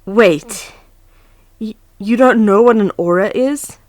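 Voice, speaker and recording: A woman's voice: medium pitch, takes it slowly, darkish tone, no room sound, studio quality, natural voice. A woman playing an earnest, anxious but composed young huntress in a fan audio drama. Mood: nervous, curious. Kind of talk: fan audio drama, first-person narration and dialogue. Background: background music.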